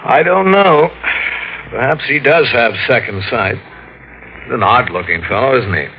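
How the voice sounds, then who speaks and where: An actor playing a calm, patient police detective in a 1940s radio drama, measured and reasonable, on a muffled old broadcast. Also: male voice, medium pitch, lightly acted.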